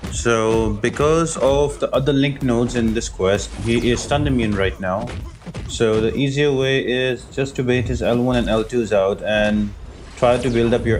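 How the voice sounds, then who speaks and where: A man's voice: medium pitch, slight background noise. A man giving an informal, explanatory game walkthrough as a video host, talking directly to viewers. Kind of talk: casual game walkthrough commentary.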